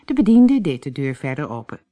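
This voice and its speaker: A woman reading a fairy tale for an audiobook in expressive, dramatic scripted narration. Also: low-pitched, fairly quick.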